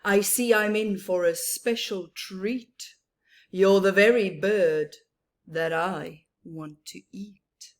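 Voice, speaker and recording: A woman voicing a menacing male predator bird in a storybook, deeper and louder than her own reading voice. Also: slow, lightly acted. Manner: low, booming, sinister.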